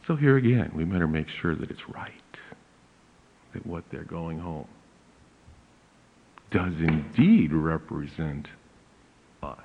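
Slow-speaking pastor with a big voice. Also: male voice, rumbling low, lively intonation, husky. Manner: slow, deliberate, with emphatic rises. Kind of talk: lecture on church hymns. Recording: narrow phone-like audio.

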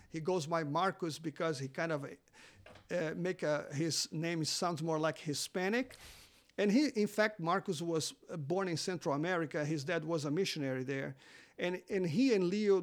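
A man who speaks English as a non-native language, a seminary teacher talking as a guest on a podcast interview, halting with many fillers and restarts. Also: high voice. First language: not English.

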